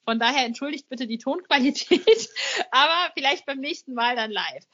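A woman's voice: high voice, sing-song, normal speed, bright, forceful tone, muffled recording. Effusive woman hosting a podcast. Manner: effusive, informal. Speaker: podcast host and interviewer.

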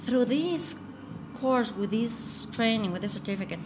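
A woman's voice: fairly high pitch, expressive, unhurried. A middle-aged woman from Ecuador, a tour guide talking earnestly in an interview and often repeating and restarting her words. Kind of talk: casual interview. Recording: noticeable background noise.

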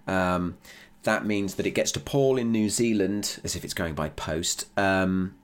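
British guy w a low voice doing a casual podcast diary, candid, bit sweary, self-deprecating. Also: measured pace. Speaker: podcast writer and creator. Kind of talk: casual behind-the-scenes audio diary.

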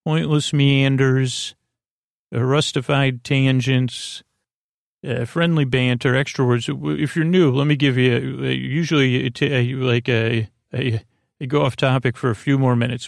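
A man with a creaky voice hosting a bedtime podcast in a meandering monologue, halting and stammering as he drifts between half-finished sentences. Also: medium pitch, steady tone, no echo, studio quality. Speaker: host of a bedtime story podcast.

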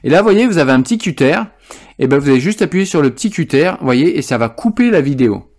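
A man presenting an informal software tutorial, explaining things step by step as he demonstrates them. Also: fairly high pitch, very animated, fast.

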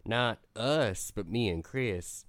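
A man's voice: on the low side, animated, takes it slowly, fairly bright tone, no echo, clean studio sound. A man playing a no-nonsense small-town radio host and monster hunter in an audio drama, folksy and matter-of-fact.

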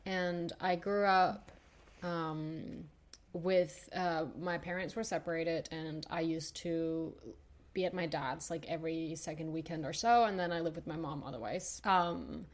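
A young woman from Denmark telling her personal story as a podcast guest, thoughtful and hesitant with many pauses and fillers. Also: sits low, fairly even intonation.

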